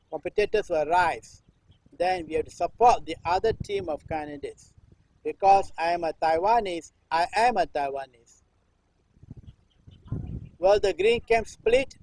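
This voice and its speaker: An older man from Singapore, in his sixties, recites in a high, close voice with no room around it. His delivery is halting, full of clipped restarts. A repeated refrain interrupts him over and over, chanted like an incantation. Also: gravelly, a little mellow, dry recording, low-quality recording. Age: 60s. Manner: declaims a read text, breaking it up with a repeated chanted refrain. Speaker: performance artist. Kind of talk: live performance-art recitation.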